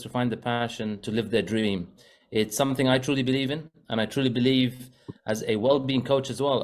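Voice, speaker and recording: A man from Zambia, a well-being coach and podcast guest, talking earnestly with many fillers and restarts. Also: mid-range voice. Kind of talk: casual podcast interview.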